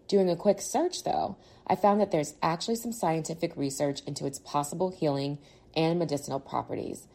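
A woman's voice: on the low side, gravelly, dark tone. A woman doing a dramatic reading of a listener's email as a guest reader.

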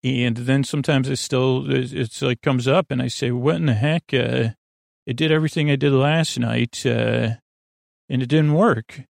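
A man in his forties with a gravelly, creaky voice, hosting a sleep podcast in a meandering, halting monologue that trails off and circles back. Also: mid-range voice, darkish tone, no echo, studio quality. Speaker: podcast host. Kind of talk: rambling bedtime monologue to help listeners sleep.

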